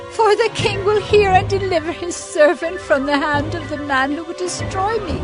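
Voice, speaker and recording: Humble woman begging a king, high voice, bible audio drama w/ music under it.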